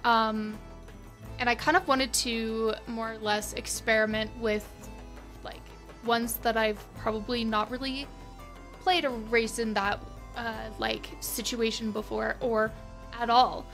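A woman chatting casually as an interview guest, enthusiastic and expressive about playing tabletop games. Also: on the high side, measured pace, faint music behind.

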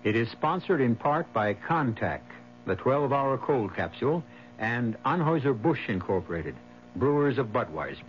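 A man hosting a radio mystery drama with a scripted introduction, measured, formal and wry. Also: mid-range voice, sing-song, dull audio, slight background noise. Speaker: host. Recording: band-limited 1970s radio recording.

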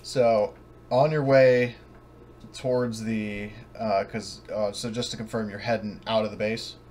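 A man narrating an online tabletop roleplaying game as game master, sometimes halting, over voice chat. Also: lowish voice, fairly even intonation, darkish tone, slight background noise.

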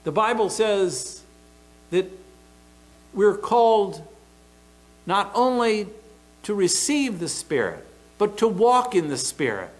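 A middle-aged American man preaching a sermon from a church pulpit into a pulpit microphone, emphatic and deliberate. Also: high-pitched, very animated, rough recording. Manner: emphatic, deliberate preaching with open hand gestures. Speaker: pastor.

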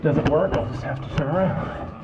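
Man muttering in an echoey cave. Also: on the high side. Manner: muttering to himself while crawling, halting and offhand. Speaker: caver filming his trip. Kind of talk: casual self-narrated vlog. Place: inside a lava tube cave. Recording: echoey cave, dull sound, loud background noise.

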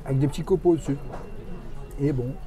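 A man's voice: medium pitch, fast, music in the background. A man chatting casually as he tastes food for a vlog. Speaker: vlog companion tasting food. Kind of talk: casual chat. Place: busy restaurant at a theme park. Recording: restaurant crowd chatter behind.

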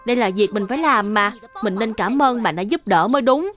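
An earnest, helpful little cartoon boy, acted by a woman. The voice is high and childlike, with polite, careful delivery. Music plays softly behind. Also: expressive, fairly quick, lightly acted. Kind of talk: dubbed children's cartoon acting.

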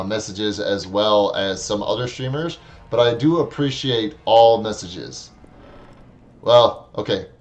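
A man in his thirties from Wisconsin, with a Wisconsin accent, chatting casually and wryly while streaming a game from his room on a headset mic. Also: fairly low pitch. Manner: chatty, wry, talks back to the game. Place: small room at home.

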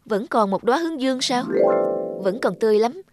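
A woman voicing a kind, polite young female florist in a fairy-tale cartoon, gentle and courteous. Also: fairly high pitch, animated, brisk, loud music behind the voice, pitched above the performer's own voice, lightly acted.

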